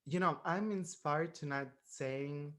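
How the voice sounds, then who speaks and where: A man making casual, halting remarks on a video call, pausing on fillers. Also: a little high, fairly bright tone.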